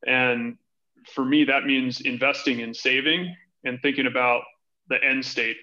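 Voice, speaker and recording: A man's voice: medium pitch, steady tone, measured pace, sharp tone, dull audio. A man in his thirties from Hawaii with an American accent, answering conversationally as a podcast interview guest. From Hawaii, United States. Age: 30s. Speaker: podcast guest, financial advisory director.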